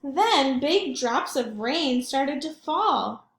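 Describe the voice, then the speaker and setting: A woman's voice: high-pitched, lively intonation, drawn-out words, reverberant, slight background noise. A woman reading picture books aloud to young children, expressively and with questions for her listeners. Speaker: children's read-aloud host, teacher or librarian.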